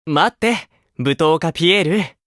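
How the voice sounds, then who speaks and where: An actor playing a gentle, playful grown man, a caring older teammate in an idol game, with a coaxing manner. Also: fairly high pitch, measured pace, dry recording, studio quality. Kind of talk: Japanese mobile game voice acting.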